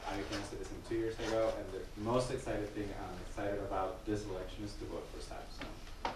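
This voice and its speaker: A man from Mexico moderating a public museum panel, earnest, with a voice he calls loud. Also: fairly low pitch, level tone, a little fast. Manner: says he has a loud voice; earnest. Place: museum gallery. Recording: echoey room, distant mic, noisy.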